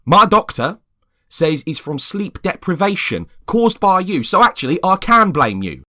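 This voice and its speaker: A man's voice: high voice, sing-song, measured pace, pushed and bright, no echo, studio quality, voice pushed up, full character voice. A man playing an exhausted, irate neighbour at breaking point in a dramatised story reading, complaining emphatically. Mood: aggrieved.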